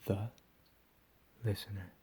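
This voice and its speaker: A man playing a deranged, menacing captor in a whispered ASMR roleplay, profane and slow with drawn-out emphasis. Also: dark tone, a little hiss or hum, lightly acted. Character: deranged menacing male captor, recently escaped asylum.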